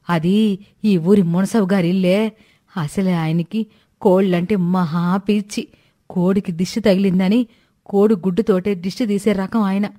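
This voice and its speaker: A stingy old village grandmother from a storybook tale, acted by a woman. She grumbles and exclaims in a folksy way, always dodging and complaining. The voice sits at a middling pitch. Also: warm tone, close to an everyday voice. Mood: alarmed. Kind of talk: Telugu moral storybook character voicing.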